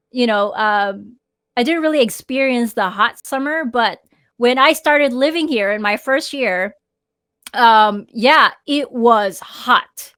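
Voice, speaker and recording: A woman's voice: fairly high pitch, fairly bright tone, clear, resonant tone, dry recording, clean studio sound. A woman from the Philippines co-hosting a live podcast, chatty and giggly. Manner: chatty, giggly, does silly sound effects. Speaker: podcast co-host. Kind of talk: casual live podcast chat.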